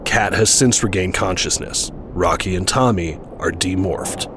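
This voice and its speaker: A man's voice: sits low, unhurried, background noise. A man reading scripted narration for an action audio drama. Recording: voice over loud trailer music.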